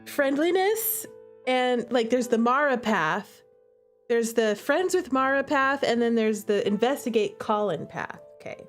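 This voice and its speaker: Female game streamer, high voice, chatty and theatrical, talking straight to her audience. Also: unhurried, soft background music. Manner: animated, theatrical, acts out reactions; conversational asides to chat. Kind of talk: casual livestream commentary.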